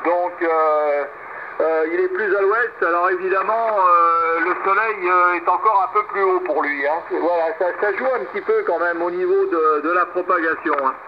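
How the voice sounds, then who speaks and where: A man's voice: sits high, moderate pace. A man chatting hesitantly as an amateur operator over single-sideband shortwave radio. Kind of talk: casual radio exchange with call signs. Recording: muffled shortwave radio, loud static. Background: loud radio noise.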